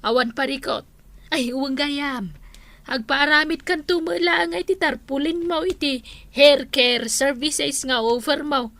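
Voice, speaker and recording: Actress as high-voiced, chatty village shopkeeper woman. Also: unhurried, fairly bright tone, dry recording. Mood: friendly chatting. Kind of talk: comedy drama dialogue.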